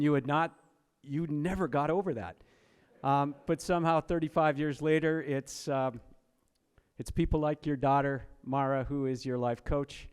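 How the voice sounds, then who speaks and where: A man from Massachusetts introducing an award winner at a reception, halting with many repeated words. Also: mid-range voice, a little mellow, smooth. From Massachusetts, USA. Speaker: presenter introducing an honoree. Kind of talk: speech at a reception. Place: banquet hall. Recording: reverberant hall.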